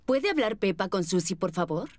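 A woman voicing a gentle, caring mother pig in a children's cartoon, expressive. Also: medium pitch, normal speed, no echo, lightly acted. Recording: music under the voice.

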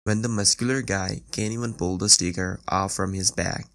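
A man's voice: sits low, fairly even intonation, normal speed, creaky, fairly bright tone. A man reading scripted narration as the presenter of an online video compilation. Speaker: narrator of a viral clip compilation.

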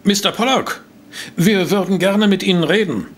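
A man's voice: high-pitched, moderate pace, a bit bright. A man playing a wry, insistent newspaper reporter in a German audio drama. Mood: polite. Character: brisk, wry male newspaper reporter and sidekick. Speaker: narrator and character actor. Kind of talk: German audio drama acting and first-person narration.

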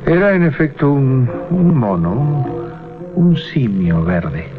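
A man narrating a radio drama, telling the story with deliberate, halting suspense. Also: medium pitch, lively intonation. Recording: muffled 1980s radio recording with music. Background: music.

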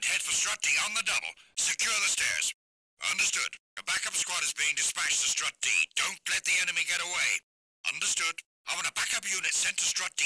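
A man's voice: mid-range voice, pushed and bright, a little hiss or hum, rough recording. An actor playing a stern male military command officer in a video game, giving clipped, urgent orders over a radio. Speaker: military dispatcher.

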